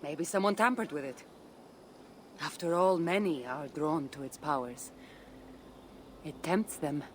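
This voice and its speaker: An actress playing a confident, guarded female warrior and seasoned artifact hunter in a video game, speaking in a measured, dryly teasing way. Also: low-pitched, slight background noise. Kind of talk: acted game cutscene dialogue.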